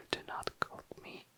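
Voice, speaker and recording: A young adult ASMR host whispering casual, tentative commentary, often self-correcting in an unhurried way. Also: bright, forceful tone. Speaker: host of an ASMR makeup video. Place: indoors, tabletop close-up video.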